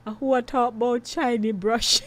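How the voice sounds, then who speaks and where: A middle-aged woman hosting a call-in radio show, chatty and quick to laugh. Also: fairly high pitch, steady tone. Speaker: radio host.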